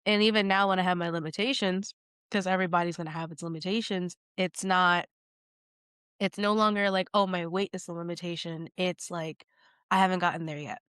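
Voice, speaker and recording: A woman's voice: fairly low pitch, fairly even intonation, fairly quick, a bit bright, smooth, no echo, studio quality. A woman getting over a cold, sounding nasal, chatting as a podcast guest and rambling with lots of fillers. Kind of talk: casual conversation.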